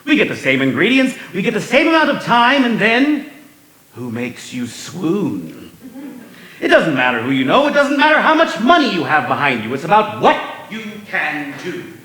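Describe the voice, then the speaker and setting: A man's voice: sits high, wildly swooping pitch, moderate pace, pushed and bright, full character voice. A man playing a showy musical emcee. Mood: grand. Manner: theatrical, playful, sings and speaks to the audience. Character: showy male food-show emcee narrating the evening. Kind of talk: staged musical reading, spoken and sung. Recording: live stage recording in an echoey theater with music.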